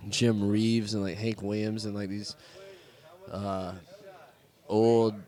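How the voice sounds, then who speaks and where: Laid-back Southern man with a low voice. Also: flat delivery, slow pace, warm tone. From American South. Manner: laid-back, rambling, swears casually. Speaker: musician guest. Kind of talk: casual interview chat.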